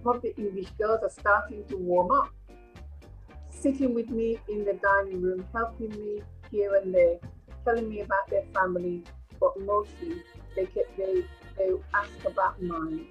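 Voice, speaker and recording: A woman's voice: mid-range voice, animated, moderate pace, soft background music, close to an everyday voice. Older Caribbean woman for homesick nurse-mother in a stage monologue, acted by a woman, slightly muffled call audio. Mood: weary, reflective. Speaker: retired nurse reading a play. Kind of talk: rehearsed play reading, monologue. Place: indoor room at home, video call.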